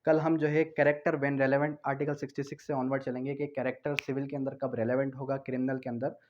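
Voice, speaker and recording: A man's voice: medium pitch, little pitch movement, brisk, a little mellow, a little hiss or hum. A man with a Pakistani accent lecturing on law in a didactic way, posing questions and answering them, mixing English terms into his explanations. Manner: didactic, poses questions then answers them, repeats key phrases; mixes English legal terms into speech. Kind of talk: explanatory lecture with everyday examples. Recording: dull, muffled lo-fi sound.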